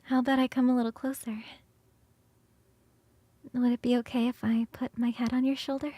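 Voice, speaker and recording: A woman voicing a playful, hungry and affectionate succubus roommate in an ASMR roleplay, coaxing and teasing with sighs and soft, breathy turns. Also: on the high side, level tone, no echo, lightly acted. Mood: soft, intimate. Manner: coaxing, sighing, drawn-out savouring, shifting into soft whispers.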